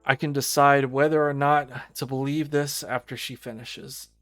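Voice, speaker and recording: A man's voice: mid-range voice, flat delivery, moderate pace, a bit bright. A man reading visual novel narration aloud as a streamer. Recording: music behind the voice.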